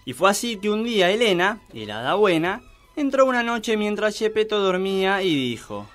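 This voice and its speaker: A man narrating a comic children's story in a theatrical, drawn-out storytelling manner. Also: high voice, very animated, a bit bright, soft background music.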